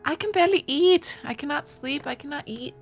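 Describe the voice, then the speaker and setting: A woman's voice: high voice, fairly quick, voice pushed up. A woman voicing an anxious, self-reproaching baroness in a dramatic, lamenting way, with a wandering accent. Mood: distraught, self-blaming. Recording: music playing behind.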